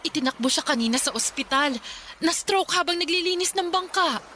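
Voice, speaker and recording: A woman's voice: high-pitched, animated, fairly quick, bright, forceful tone, faint music behind. An actress playing a caring, harried mother who fusses and reassures in a radio drama. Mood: explaining, flustered. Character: caring, harried mother of a poor fishing family.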